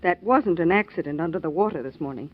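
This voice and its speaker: A woman's voice: on the low side, animated, fast talker. An actress playing an anxious young woman pleading for help in a 1940s radio drama, earnest in tone. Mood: urgent. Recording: muffled old radio recording.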